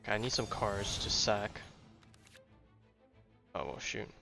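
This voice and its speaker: A man's voice: fairly low pitch, animated, unhurried, vocal fry. A man giving casual live commentary on a game, rambling as he thinks aloud. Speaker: game streamer. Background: game music.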